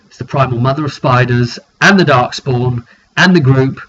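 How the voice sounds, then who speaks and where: A man's voice: mid-range voice, moderate pace, a bit bright. Man recapping a roleplaying game, dull noisy recording. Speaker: game master recounting a tabletop campaign. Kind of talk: informal video recap of a roleplaying session. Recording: dull lo-fi sound with clear noise.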